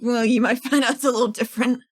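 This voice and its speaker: A woman's voice: a little high, fairly even intonation, fast talker, breathy edge, dry recording. A woman playing a wry, unbothered female vigilante, casual and needling, in a tabletop roleplaying game.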